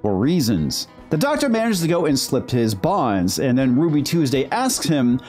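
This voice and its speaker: A man's voice: mid-range voice, huge pitch swings, normal speed, a little mellow, faint music behind. A man delivering scripted critical commentary in a sarcastic, mocking manner. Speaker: video host, TV critic.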